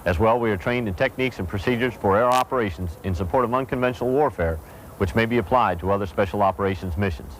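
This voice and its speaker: Male military presenter, lower register, formal scripted explanation, recorded with clear vehicle-like noise behind. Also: quick pace, poor audio.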